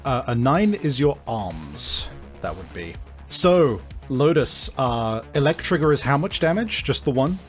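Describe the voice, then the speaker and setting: Male game master, medium pitch, slow halting narration of dark scenes over faint guitar music. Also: big pitch swings. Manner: halting, deliberate, searching for words. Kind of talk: improvised narration of a tabletop horror game.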